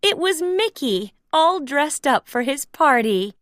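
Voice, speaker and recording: A woman narrating a children's storybook aloud in an expressive read-along style. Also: high-pitched, slow, dry recording, studio quality.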